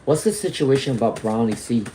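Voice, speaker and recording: A man's voice: medium pitch, expressive, fairly quick, mellow, slight background noise. A man giving live tarot readings, reading out viewer comments and often checking in with "all right?" and "okay?". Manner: reads out viewer comments and greets chatters. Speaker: tarot reader.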